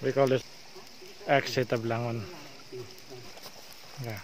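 A man's voice: mid-range voice, flat delivery, slow pace, noisy background. A man with a Filipino accent guiding a cave tour, narrating and coaxing climbers along in mixed English and Tagalog. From Philippines. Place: inside a large cave.

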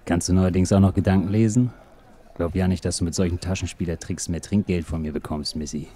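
A man playing a tired, hoarse older drinker with gruff, dry humor in an audio drama. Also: deep voice, steady tone, brisk, warm tone, natural voice. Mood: gruff, weary. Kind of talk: German audio drama acting.

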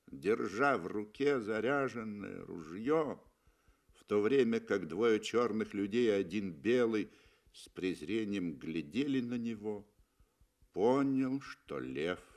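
Slow, deep-voiced male dramatic narrator. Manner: theatrical, deliberate, with weighty pauses. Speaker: actor reading a story. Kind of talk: dramatic literary narration, 1960 Soviet radio play.

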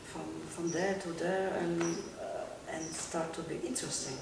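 A woman's voice: on the low side, steady tone, noisy background. Middle-aged Polish woman, non-native English, hesitant; recorded far off in a big echoey garage. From Poland. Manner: halting second-language English, repeats words. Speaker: interview guest, car detailer. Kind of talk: casual interview answers. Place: large workshop garage, indoors.

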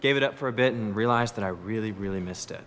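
A man's voice: fairly low pitch, fast, a bit bright. A man answering casually as a contestant at an audition. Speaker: opera-trained audition contestant. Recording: reverberant room with faint noise.

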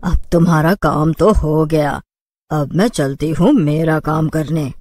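A woman's voice: deep voice, slow pace, dark tone, no room sound, clean studio sound, voice pushed down. A woman voicing a sly, menacing female witch in a cartoon story, gloating and taunting.

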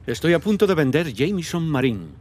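A man's voice: on the high side, sing-song, fairly quick, darkish tone. A man voicing a contented, genial businessman ready to retire in a dubbed game, easygoing, with faint ambient noise. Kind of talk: Spanish dub of cinematic game drama.

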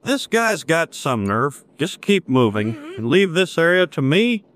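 A man's voice: fairly high pitch, sing-song, unhurried, a bit bright, pitched below the performer's own voice. A man voicing a tough, stern, folksy police officer in a cartoon style for a video game.

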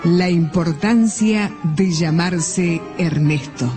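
A man's voice: on the high side, slow pace. A man with an Argentine accent formally announces a radio theatre broadcast in a stately, declamatory way. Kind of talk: formal announcement of title and cast. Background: music.

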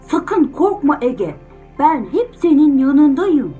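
A loving storybook father, voiced by a woman, tender and reassuring. The words are emphatic and pitched up, with music playing behind. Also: big pitch swings, slow, mellow, full character voice.

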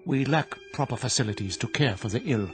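An actor playing a stern monk who speaks calmly and never gives ground, in a radio drama. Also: male voice, medium pitch, natural voice. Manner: calm, measured, unyielding. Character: stern monk of a remote religious order. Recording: radio play with music behind.

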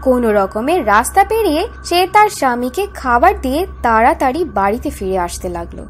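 A woman narrating a scripted fairy tale in a dramatic storytelling style. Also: high-pitched.

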